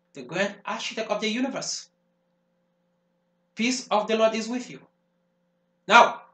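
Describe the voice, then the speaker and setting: An actor playing a fervent preacher who speaks in emphatic, repetitive sermon phrases. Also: male voice, high voice, a bit bright, full character voice. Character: fervent male preacher warning of the devil. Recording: roomy echo.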